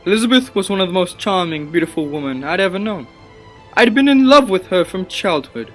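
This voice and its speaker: An obsessive young scientist in a radio drama. His fairly high voice swings widely in pitch, earnest and formal, with music underneath. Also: expressive, brisk. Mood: grave, haunted. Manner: earnest, theatrical, formal phrasing. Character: obsessive, passionate young scientist, male, haunted by his experiment. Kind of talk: dramatic radio play acting and narration.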